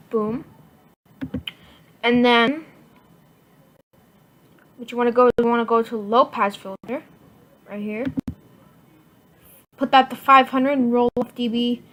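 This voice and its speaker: A woman giving a casual software tutorial in informal, halting step-by-step instructions. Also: on the high side. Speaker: tutorial presenter. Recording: noisy home computer mic, clicks.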